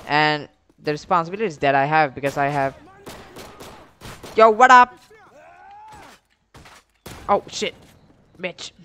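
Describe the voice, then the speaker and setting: A man's voice: fairly high pitch, animated, slow pace, long pauses, sharp tone, smooth. A young man casually telling a story while commentating on a game, rambling in slang with heavy swearing. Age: young adult. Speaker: gaming video creator telling stories over gameplay.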